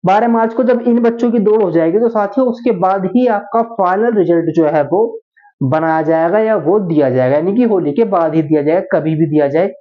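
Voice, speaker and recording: A man's voice: high-pitched, fairly quick. A man presenting an informal update, explaining and reading out notices to viewers he addresses as friends. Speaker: host of a job exam update channel. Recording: tinny, narrow phone-like sound.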